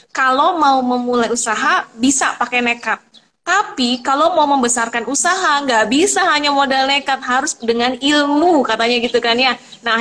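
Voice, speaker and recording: High bright lady host, excited, keeps gushing over her guest on a video call. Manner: enthusiastic, repeats Masya Allah. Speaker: host, business coach. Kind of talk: interview hosting.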